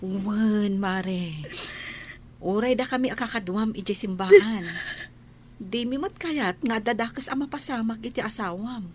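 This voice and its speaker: Some background noise sits behind an actress playing a devout woman friend with a fairly high voice. She advises earnestly and consolingly, in the manner of a radio drama. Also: unhurried, lightly acted. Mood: prayerful, consoling. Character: devout, sympathetic female friend and counsellor, gently advising. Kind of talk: Ilocano radio drama acting.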